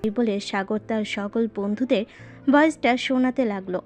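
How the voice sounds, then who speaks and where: A woman's voice: on the high side, normal speed, darkish tone. A woman narrating a scripted story as its narrator. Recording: voice over background music.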